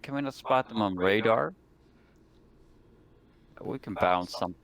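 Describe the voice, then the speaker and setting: Low-voiced man in casual game chat. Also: a little slow, creaky, fairly bright tone. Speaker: tabletop game player.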